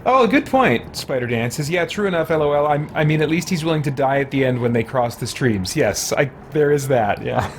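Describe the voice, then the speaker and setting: A man's voice: a little high, animated, fairly quick, hardly any pauses, slight background noise. A man hosting a game livestream, thinking aloud in a casual, rambling way as he chats with viewers.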